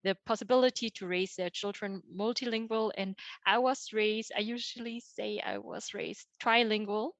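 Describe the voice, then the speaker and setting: A woman's voice: mid-range voice, steady tone, normal speed, hardly any pauses, a bit bright, dry recording, lo-fi sound. A young woman from Germany whose first language was Teochew, a podcast guest talking casually and chattily with many fillers and restarts. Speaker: podcast guest, data engineer. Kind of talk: casual interview talk.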